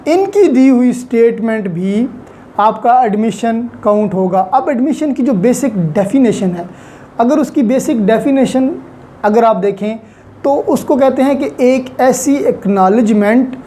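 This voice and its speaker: A woman's voice: on the high side, animated, unhurried, dark tone, a little hiss or hum. A woman giving an explanatory lecture in a didactic way, repeating key phrases for emphasis and mixing in English terms. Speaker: law lecturer. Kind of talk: explanatory lecture, Urdu-Hindi mixed with English legal terms.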